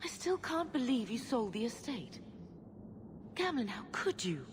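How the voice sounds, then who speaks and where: An actress playing a worried, gentle middle-aged mother in a video game cutscene, pleading softly. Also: on the high side, very animated, unhurried, noisy background. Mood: anxious. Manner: pleading, soft.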